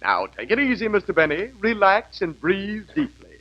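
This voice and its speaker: A pompous, silky dentist in a vintage radio comedy. He speaks in a lively, slightly high voice with dry, unbothered timing. The broadcast sounds muffled and dull. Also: normal speed, full character voice. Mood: deadpan smooth. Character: smooth, pompous, deadpan male dentist. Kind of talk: 1939 radio comedy acting.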